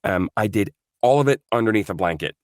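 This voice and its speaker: Man from Norway, voice actor guest, very low pitch, dry close sound, hesitant and thoughtful. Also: animated, fairly quick, no echo. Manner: thoughtful, many fillers and restarts. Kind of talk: casual interview chat.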